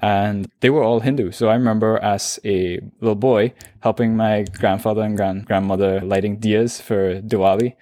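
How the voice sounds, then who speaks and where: A man with a Trinidadian accent and a lowish voice, reflecting on his past as an interview guest. He talks in a relaxed, conversational flow and often says "you know". The recording is dry and close. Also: normal speed, hardly any pauses, no echo. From Trinidad and Tobago.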